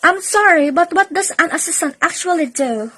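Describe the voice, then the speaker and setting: A woman's voice: very high voice, expressive, normal speed, bright, forceful tone, full character voice. A woman playing an eager, naive young woman in an amateur radio romance. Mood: eager, curious. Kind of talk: amateur radio play acting.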